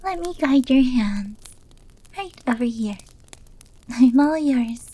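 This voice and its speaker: A woman playing a clingy, devoted young yandere maid in an ASMR roleplay, speaking softly and often slipping into a pleading whisper. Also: high voice, lively intonation, slow pace, heavily acted. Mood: teasing, submissive. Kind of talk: breathy ASMR roleplay acting.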